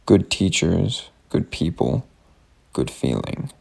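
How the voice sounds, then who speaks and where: A man's voice: sits low, sing-song, takes it slowly, gravelly, darkish tone, slightly rough. A man reading a scripted first-person story aloud, in the form of a personal letter. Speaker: reader of a written story.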